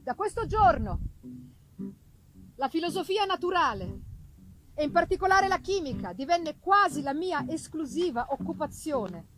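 A woman giving a theatrical first-person reading of a Gothic novel, with deliberate phrasing and dramatic pauses. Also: high voice, big pitch swings, background noise. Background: live music accompaniment.

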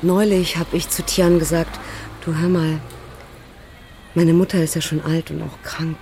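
A woman's voice: sits low, unhurried, slight background noise. A woman playing an outspoken, exasperated partner in a cross-cultural couple, retelling their exchanges in a chatty, conversational way for a radio drama. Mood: matter-of-fact. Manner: chatty, animated retelling, quoting both sides.

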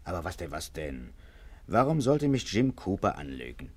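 An actor playing an excitable, easily rattled police commissioner in a theatrical 1950s German satirical radio drama. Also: male voice, deep voice, moderate pace. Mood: flustered horror.

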